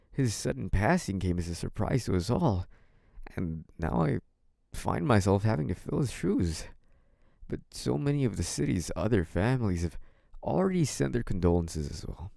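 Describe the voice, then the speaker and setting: A man's voice: fairly low pitch, big pitch swings, moderate pace, vocal fry, dark tone, no echo, clean studio sound. A man playing a young mafia heir in an audio roleplay, a cunning schemer who wears politeness as a mask. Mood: nervous, polite. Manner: hesitant and apologetic act, turning smug and taunting. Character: young mafia heir, feigned naive politeness hiding cunning, possessive menace. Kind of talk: dramatic first-person audio roleplay.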